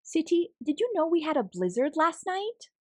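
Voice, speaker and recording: An eager, playful little girl from a picture book, performed by a woman. Her voice jumps high and swings in pitch with exclamatory excitement. The sound is dry and close. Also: normal speed, dry recording. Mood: curious. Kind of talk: children's storybook character reading.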